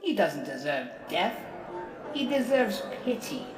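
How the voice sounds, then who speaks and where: A woman's voice: medium pitch, sing-song, slow pace. A woman voicing a vain, grandiose robot leader testifying in court in a theatrical, pompous, oratorical dramatic reading. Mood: condescending. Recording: echoey hall reverb, distant, music behind.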